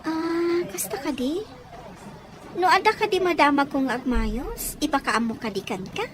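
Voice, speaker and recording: Woman doing a curious young girl in a drama, super high, lively, always asking stuff. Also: a little slow, noisy background. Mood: inquisitive. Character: curious, inquisitive young woman fishing for information. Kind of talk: amateur audio drama acting.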